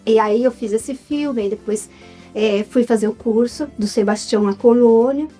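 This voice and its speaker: A woman's voice: a little high, moderate pace, faint music behind. A woman in her fifties with a Brazilian Portuguese accent, an actress chatting casually as an interview guest, now and then turning to the audience. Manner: lively, addresses the audience as "gente".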